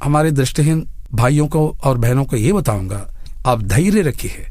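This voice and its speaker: A man's voice: medium pitch, animated, normal speed, darkish tone, dry recording, clean studio sound. A man speaking as an interview guest, earnestly laying out his points and advice one by one. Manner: earnest, makes enumerated points. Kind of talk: radio interview, advocacy and advice.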